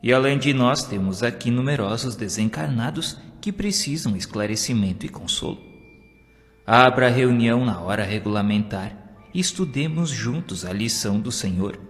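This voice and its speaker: A man's voice: medium pitch, animated, moderate pace, natural voice. A man as firm Brazilian spirit guide, echoing. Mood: firm teaching. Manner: earnest, instructive. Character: wise, firm male spirit guide, instructing. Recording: long hall reverb, music behind.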